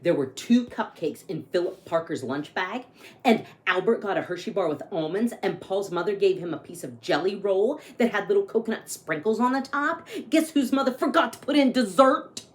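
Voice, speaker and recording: A woman's voice: medium pitch, lively intonation, moderate pace, close to an everyday voice. Woman voicing a grumpy, dramatic little boy. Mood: aggrieved. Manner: emphatic, complaining, dramatic. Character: grumpy, aggrieved young boy narrating his bad day.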